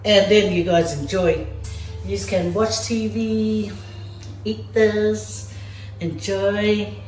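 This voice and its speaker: Slow, chatty woman in an echoey kitchen. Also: mid-range voice, steady tone, a little mellow, faint music behind. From Samoa. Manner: chatty and playful, talks straight to viewers as "guys". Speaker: cooking vlogger. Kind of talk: casual cooking demonstration. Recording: echoey room.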